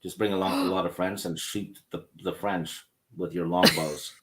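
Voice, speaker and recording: A man from Norway with a Norwegian accent, speaking English as a later language and trading dry, deadpan puns in casual chat. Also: low voice, moderate pace, noisy background.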